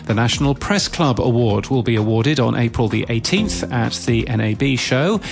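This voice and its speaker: A man's voice: mid-range voice, lively intonation, moderate pace, gravelly. A man hosting a podcast news bulletin, reading from a script. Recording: music bed behind voice. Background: music.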